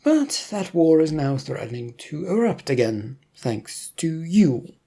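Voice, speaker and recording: Someone doing a small skinny govt agent guy, pushy n formal, voice pitched way up, kinda mellow. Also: sing-song, unhurried, gravelly, slightly rough, heavily acted. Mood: urgent, insistent. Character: thin, tiny, urgent government agent in slick suit.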